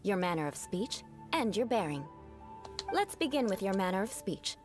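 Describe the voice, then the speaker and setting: A woman's voice: mid-range voice, big pitch swings, normal speed, over music, natural voice. An actress playing a proud young aristocratic woman knight in a video game, aloof and formal with precise, haughty diction. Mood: composed, instructive. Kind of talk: scripted video game voice acting.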